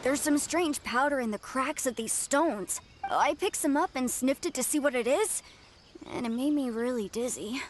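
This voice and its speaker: A woman's voice: high voice, moderate pace, background noise, close to an everyday voice. A woman playing a helpful, earnest young companion in a video game, reporting things plainly. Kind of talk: video game dialogue acting. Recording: game music behind the voice. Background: background music.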